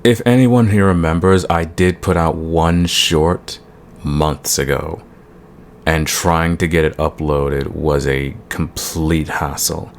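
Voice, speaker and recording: A man's voice: steady tone, slow pace. A man hosting his audio channel, giving a casual update straight to his listeners. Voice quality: very deep, gravelly. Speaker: audio roleplay creator, channel host. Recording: clear background hiss.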